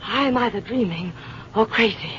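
A woman's voice: fairly high pitch, normal speed, a bit bright, close to an everyday voice. A woman acting in an old-time radio drama as a sardonic, plucky American schoolteacher, theatrical and wry in her delivery. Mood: stunned, fearful. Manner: theatrical, wry, talking to herself. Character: sardonic, plucky American schoolteacher driving alone, shaken but defiant. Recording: dull, noisy old radio recording.

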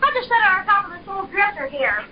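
A bold, excitable young boy with a very high, lively voice, as a woman acts him out. He exclaims and chatters with bouncy energy. The recording is dull and lo-fi with a faint hiss. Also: fast talker. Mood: excited. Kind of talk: 1930s radio drama acting. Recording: dull old radio transcription with faint hiss.

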